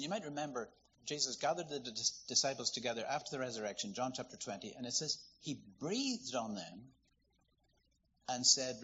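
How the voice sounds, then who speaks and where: Guy preaching, bright voice, kinda dull lo-fi sound with faint noise behind. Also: mid-range voice. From Northern Ireland. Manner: storytelling, lively, conversational. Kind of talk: sermon.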